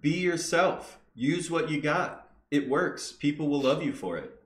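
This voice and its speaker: A man's voice: a little high, unhurried, roomy sound. A man hosting a podcast, speaking earnestly and encouragingly in casual chat. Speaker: podcast host.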